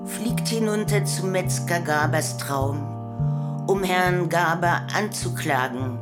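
A woman narrating a literary radio play in a deliberate storytelling manner. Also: lowish voice, loud music behind the voice.

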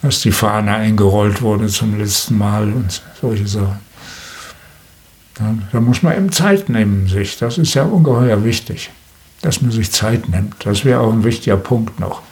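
A man's voice: lowish voice, moderate pace, darkish tone. An older man speaking as a radio documentary maker in a conversational interview, explaining things reflectively.

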